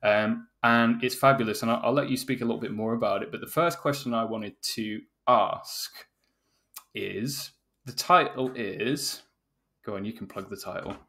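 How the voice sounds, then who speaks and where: A man's voice: medium pitch, normal speed, bright, forceful tone. A man hosting a podcast, introducing and interviewing in a conversational style. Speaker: podcast host.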